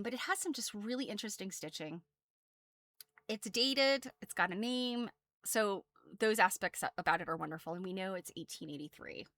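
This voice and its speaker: A woman's voice: mid-range voice, fairly bright tone. An American woman presenting quilt history casually as a host, chatty and self-deprecating, wandering off into asides.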